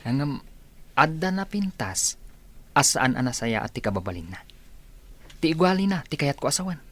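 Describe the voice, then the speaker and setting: A man's voice: a little high, big pitch swings, moderate pace, fairly bright tone. A man playing a frank, cautioning village friend in radio drama, with an Ilocano accent. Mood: frank, advising.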